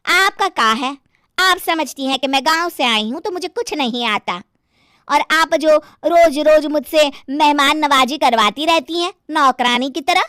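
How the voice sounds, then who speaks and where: A woman voicing a fussy, coy young village daughter-in-law in an animated story, with drawn-out, whiny delivery. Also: high voice, no room sound, studio quality. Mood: petulant, scornful. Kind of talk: Hindi animated moral story acting.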